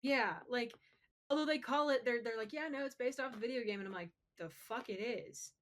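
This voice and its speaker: A woman's voice: a little high, brisk. A woman co-hosting a podcast, casually explaining her opinions on films and games with the odd swear. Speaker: podcast co-host. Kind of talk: casual discussion of film and games.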